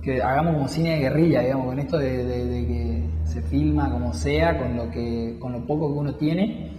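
A man's voice: medium pitch, normal speed, roomy sound, long reverb tail, over music. A young man, a filmmaker, talking about his work in an interview with many restarts and fillers. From Misiones, Argentina. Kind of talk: interview talk.

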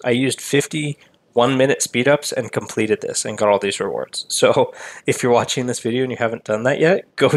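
A man with a slightly raspy voice walking through a mobile game event in casual voice-over commentary, relaxed and full of ums. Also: medium pitch. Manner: relaxed, many ums, explains step by step.

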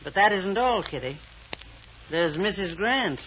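A woman's voice: medium pitch, expressive, a little slow, lightly acted. Bossy, scheming woman, played by an actress in vintage radio drama. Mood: calculating. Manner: commanding, clipped, sardonic. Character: shrewd, bossy woman scheming and controlling her tippling companion. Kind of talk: old-time radio drama acting. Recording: dull, faintly noisy old radio recording.

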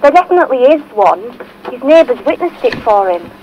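A woman's voice: a little high, big pitch swings, brisk, a little mellow, dull audio, a little hiss or hum. An actress playing an earnest woman phoning a podcast for help in a scripted audio drama. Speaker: phone-in caller.

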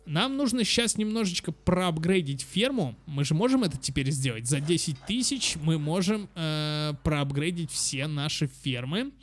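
Chatty higher-voiced man commentating a game. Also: animated, moderate pace, hardly any pauses. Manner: chatty, thinks aloud, repeats words, exclaims. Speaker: gaming channel host. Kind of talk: casual let's play commentary for children. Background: game music.

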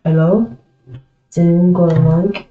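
A woman's voice: fairly low pitch, steady tone, a little slow, dark tone, faint music behind. A woman gamer chatting casually over in-game voice chat in a plaintive, pestering manner. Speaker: online game player. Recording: phone-like, echoey game voice chat.

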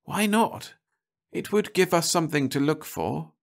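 A shrill, distraught woman on a lost starship, her voice pitched far above the reader's own, as a man puts her on. It sounds thin and high. Her manner is tense and fragile. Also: lively intonation, a little slow, gravelly. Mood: hushed.

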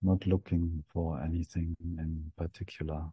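A man leading a guided meditation as a teacher, speaking gently in short phrases with long pauses. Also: low-pitched, flat delivery, husky, no room sound.

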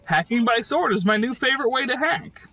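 A man's voice: very high-pitched, expressive, a little fast. A man giving casual, enthusiastic and jokey commentary over game footage. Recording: voice-over with game music behind.

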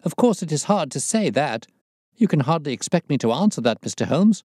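A man's voice: mid-range voice, lively intonation, normal speed, dark tone, no room sound, studio quality, pitched above the performer's own voice, natural voice. A man voicing a very fat, frightened storybook character, anxious and evasive, talking in a nervous, pleading way. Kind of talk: audiobook character voice.

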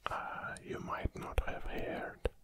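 A man in his forties from Kazakhstan with a Russian accent, hosting an ASMR video in a slow, soft close whisper with small hesitations, recorded on a studio condenser mic in a small home studio. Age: 40s. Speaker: ASMR channel host. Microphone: studio condenser mic on a stand, wearing headphones.

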